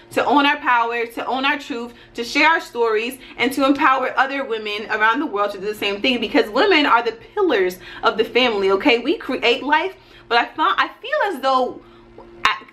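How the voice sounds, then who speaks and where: Female, 30s, high voice. Coach-style YouTuber: casual, motivational, emphatic, folksy check-ins. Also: animated, fairly quick, bright, forceful tone. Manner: emphatic, folksy, often checking in with "okay?" and "right?". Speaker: YouTuber and life and business coach. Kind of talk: casual motivational vlog talk to camera.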